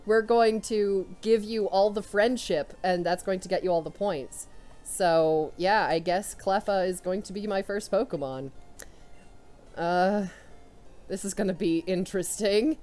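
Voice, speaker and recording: A young woman commentating a video game run, loud and excitable, talking to her characters as she plays. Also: medium pitch, a little slow, faint music behind. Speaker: let's player. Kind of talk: casual gameplay commentary.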